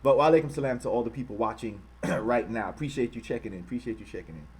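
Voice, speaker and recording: An American man hosting a live-streamed commentary, passionately hammering repeated rhetorical questions and pausing to thank viewers. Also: mid-range voice, a little mellow, a little hiss or hum. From United States. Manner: impassioned, repetitive rhetorical questions. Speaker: online channel host.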